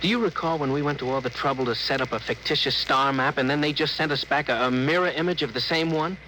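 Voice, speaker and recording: An actor playing an earnest, respectful and slightly hesitant spaceship officer in a 1950s radio drama. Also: male voice, fairly high pitch, fast, pushed and bright. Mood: earnest, puzzled. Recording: noisy vintage 1950s radio broadcast.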